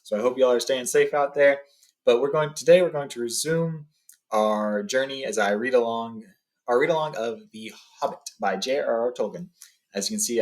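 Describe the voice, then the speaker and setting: A man hosting a book read-along, informally telling and reading a story aloud. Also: mid-range voice.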